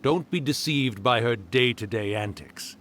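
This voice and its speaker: A man's voice: mid-range voice, lively intonation, unhurried, a bit bright, a little hiss or hum. A man voicing a centuries-old yokai storyteller in a video game, genial and theatrical, with a teasing, wistful manner.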